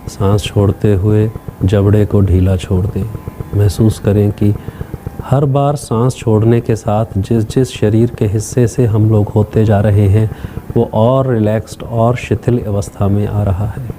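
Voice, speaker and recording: A man leading a guided meditation as a teacher, speaking calmly in short instructional phrases with long pauses. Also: lowish voice, noisy background.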